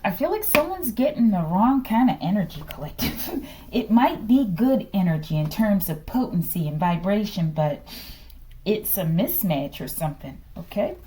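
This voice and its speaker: A woman giving an improvised, informal tarot reading to an online audience, asking rhetorical questions, tagging her phrases with "okay" and dropping into hushed asides. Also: medium pitch, expressive, normal speed, slight background noise, rough recording. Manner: repeats rhetorical questions and verbal tags like "okay". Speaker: tarot reader, host of the reading.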